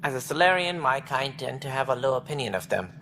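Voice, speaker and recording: An actor playing an officious alien station director in a video game, speaking precisely and formally with a wry, earnest streak. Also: male voice, mid-range voice, expressive, fast talker, bright, forceful tone, slight background noise, poor audio. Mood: wry, exasperated. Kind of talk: video game dialogue acting.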